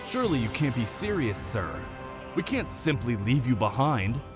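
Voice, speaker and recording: A man's voice: mid-range voice, big pitch swings, moderate pace, over music, close to an everyday voice. An actor playing a loyal, worried army quartermaster who speaks earnestly to his superior in an audio drama. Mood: alarmed disbelief. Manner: earnest, protesting.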